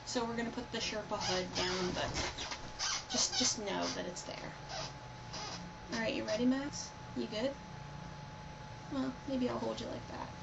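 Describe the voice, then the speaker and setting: Higher-voiced young woman, creaky and playful. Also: fairly even intonation, unhurried, long pauses, highs cut off. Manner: informal, rambling, playful. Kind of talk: casual chat and storybook read-aloud. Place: indoors, recording on a personal computer. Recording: noisy home computer recording.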